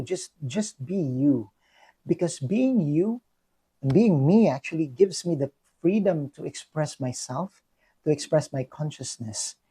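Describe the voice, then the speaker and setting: Brief: young Filipino-accented man, earnest self-reflective vlogger, slightly high husky voice, halting with fillers. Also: warm tone. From Philippines. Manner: many fillers and restarts, earnest. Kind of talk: personal reflection monologue.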